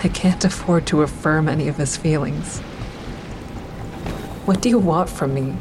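An actress playing a bitter, grieving mother who is cold and unforgiving toward her ex-husband, in an audio drama with intense, deliberate delivery. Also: sits low, music in the background. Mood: curt, guarded. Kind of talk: full-cast audio drama, first-person narration and dialogue. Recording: clearly noisy outdoor traffic ambience.

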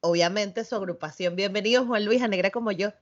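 A woman hosting a podcast interview, chatty and quick to affirm her guest. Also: medium pitch. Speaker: podcast host. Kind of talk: conversational interview. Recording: dull lo-fi audio.

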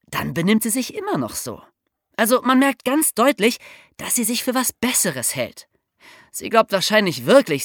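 A woman's voice: lowish voice, no room sound, studio quality. A woman with a German accent hosting a podcast, telling a true-crime story in a casual chat, with wry asides and frequent laughs. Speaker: podcast host.

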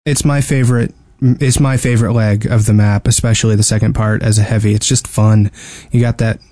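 A man's voice: lowish voice, level tone, a little fast, warm tone, no echo. A man co-hosting a gaming podcast, talking in casual banter. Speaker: podcast co-host. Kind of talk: casual banter about games.

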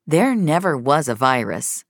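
A woman's voice: deep voice, sing-song, a little slow, dry recording, clean studio sound. A woman narrating a scripted fiction story as its storyteller.

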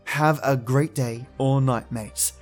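A man's voice: medium pitch, steady tone, slow pace, faint music behind. A man hosting a podcast, giving friendly, chatty commentary to his listeners. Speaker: podcast host.

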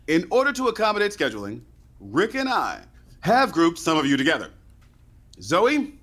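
A man's voice: on the high side, a bit bright. An actor playing an arrogant producer who is curt and sarcastic with his staff. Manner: sarcastic, cutting, imperious.

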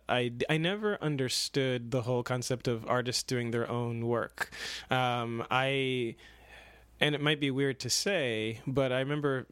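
A man's voice: medium pitch, a bit bright, clean tone, no room sound. A young man from Kenya, an actor and dancer, talking through his path in a casual interview, rambling with many restarts and laughs.

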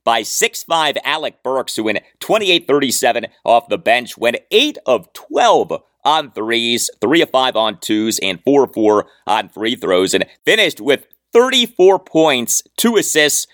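A man's voice: medium pitch, very animated, unhurried, few pauses, fairly bright tone, dry recording, clean studio sound. An American man hosting a sports podcast, delivering scripted commentary in an emphatic, detail-heavy way.

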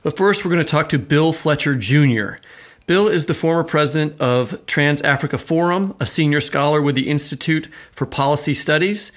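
A man's voice: on the high side, normal speed. An American man co-hosting a podcast, moving between scripted introductions and conversational talk. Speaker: podcast co-host.

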